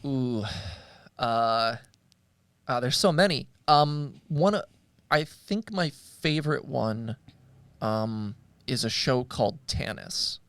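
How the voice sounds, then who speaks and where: A man's voice: medium pitch, lively intonation, slow pace, fairly bright tone, smooth, no room sound. A man in a casual discussion as a show host, speaking hesitantly and searching for his words. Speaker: podcast host. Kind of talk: casual discussion of audio dramas.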